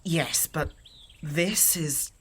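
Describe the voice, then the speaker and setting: An actor playing a dignified exiled shifter who speaks in formal, measured, old-fashioned phrases. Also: male voice, on the high side, a bit bright, husky, exaggerated voice. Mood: solemn.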